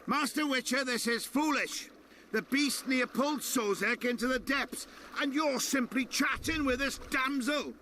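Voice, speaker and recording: An extremely high, sharp-edged man's voice as a hostile town bruiser in a game. He sneers and whines, with faint water noise behind. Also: unhurried, exaggerated voice. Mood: indignant, warning. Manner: sneering, whining. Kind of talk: video game cutscene acting.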